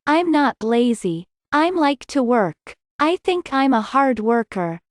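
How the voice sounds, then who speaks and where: A woman reading scripted English lesson dialogue, slowly and clearly, in carefully spaced sentences. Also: sits high, big pitch swings, no room sound, studio quality.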